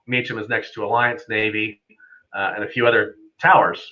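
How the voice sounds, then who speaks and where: A man's voice: on the low side, little pitch movement, fairly quick, sharp tone. Man talking casually, slightly dull stream audio. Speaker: air traffic controller, live stream guest. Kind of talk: casual unscripted explanation with anecdotes.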